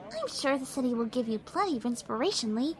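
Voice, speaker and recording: A woman voicing a shy, gentle young pony who loves animals, hesitant and apologetic, in an audio drama. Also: high voice, sing-song, quick pace, noisy background. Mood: gentle, doting. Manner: soft-hearted, hesitant, apologetic.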